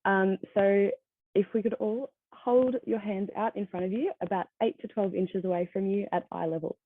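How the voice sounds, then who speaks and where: A woman's voice: mid-range voice, steady tone, moderate pace, no echo. Teenage girl on a tinny call. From Australia. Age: teens. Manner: soft-spoken, many ums, laughs lightly. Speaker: conference speaker. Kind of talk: presentation talk over a video call. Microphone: video call. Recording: tinny phone-like line, dry.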